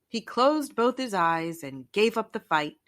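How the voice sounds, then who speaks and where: Slow, animated woman reading a storybook. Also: mid-range voice. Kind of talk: expressive children's read-aloud narration.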